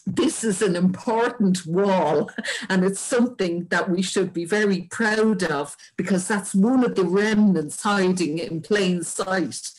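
An older woman with an Irish accent and a husky voice, giving an informal local history talk over a video call from home. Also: mid-range voice, a little slow, poor audio. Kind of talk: informal illustrated history lecture. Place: indoors at home. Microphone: webcam video call.

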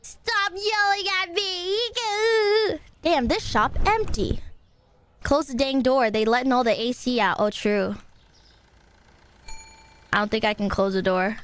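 A woman's voice: high-pitched, slow, pauses often. A young woman streaming a game, chatting casually with her viewers, playful and easily exasperated. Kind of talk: casual live-stream gameplay chat.

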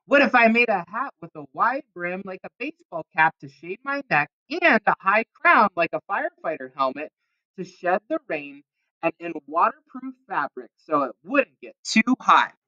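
A woman's voice: mid-range voice, very animated, moderate pace, sharp tone, highs cut off. A woman voicing a plucky, folksy cowboy in a children's storybook read-aloud. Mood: inspired. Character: folksy, plucky cowboy man thinking problems through.

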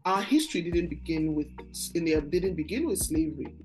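A woman's voice: low-pitched, expressive, a little fast, a little mellow, faint music behind. A woman with a Nigerian accent hosting an interview podcast over a video call, enthusiastic, often repeating words as she searches for phrasing. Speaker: podcast host. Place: room with bookshelves and red sofa.

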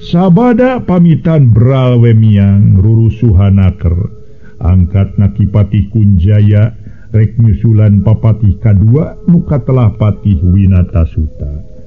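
A man's voice: lowish voice, expressive, slow. A man telling a story as a radio storyteller, narrating in a dramatic style. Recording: dull lo-fi radio recording with music.

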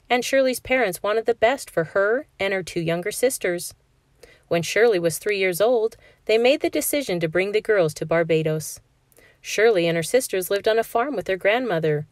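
A woman reading a children's picture book aloud in a clear, steady storytelling voice for a classroom. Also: mid-range voice, dry recording, clean studio sound. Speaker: read-aloud narrator.

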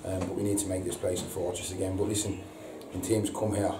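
A man, a football manager giving a halting post-match interview full of repeated words and ums. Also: low voice, flat delivery. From England. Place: indoor press room before a sponsor board. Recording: echoey room, distant mic, audible hiss.

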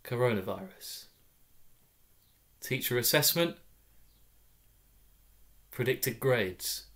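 A man in his twenties reads a comic story at an unhurried pace, with a medium-pitched voice. He is wry and deadpan, breaking sentences into short, clipped phrases. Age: 20s. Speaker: story reader. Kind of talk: dramatic first-person comic story reading. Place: small room at home, indoors.

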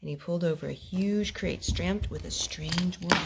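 A woman reading a picture book aloud at home, expressive and gentle, as a host talking to small children. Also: on the low side, moderate pace. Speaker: storytime host, reader to young children. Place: home living room with wooden floor. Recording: home video with clear background noise.

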